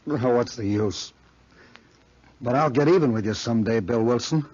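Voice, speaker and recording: An actor playing a bitter, hot-tempered jilted young man in a 1940s radio drama, rough and husky. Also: mid-range voice, moderate pace, a little mellow, close to an everyday voice. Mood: bitter, angry. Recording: muffled, band-limited 1940s radio recording.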